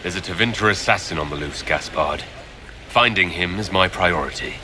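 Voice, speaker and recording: Determined fantasy game protagonist: low, forceful, direct, dry. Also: male voice, moderate pace, a little hiss or hum. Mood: blunt, firm. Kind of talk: video game dialogue.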